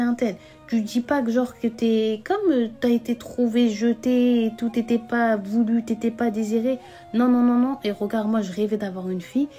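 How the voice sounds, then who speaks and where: A woman's voice: sits low, pitched below the performer's own voice. A woman voicing a loving, protective adoptive mother in a spoken story, emphatic and reassuring.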